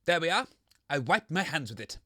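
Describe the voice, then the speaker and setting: A man's voice: a little high, big pitch swings, a little fast, pushed and bright, no echo, clean studio sound, exaggerated voice. A man with a British accent playing a grumpy, unrepentant, self-righteous radio co-host in scripted comedy, stubborn and dryly sarcastic. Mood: indignant, unrepentant.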